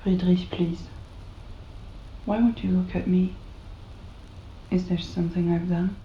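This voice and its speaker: A woman's voice: fairly low pitch, level tone, a little slow, warm tone, reverberant, muffled recording, slight background noise, natural voice. A woman playing a hurt, pleading wife and protective mother in a cinematic audio drama. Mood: anxious pleading. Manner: pleading, wounded.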